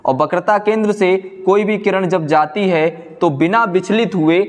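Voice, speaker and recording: Male coaching-class teacher, slightly high voice, explains step by step with question-and-answer phrasing, recorded in a reverberant room. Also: normal speed. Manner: asks questions and answers them himself, repeats key phrases for note-taking. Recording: echoey hall-like room reverb.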